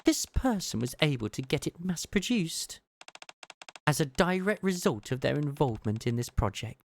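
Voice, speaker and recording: A man voicing an ancient, knowing woman from a video game, who recalls things fondly in measured, formal speech. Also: on the high side, very animated, vocal fry. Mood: explanatory. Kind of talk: read-aloud video game dialogue.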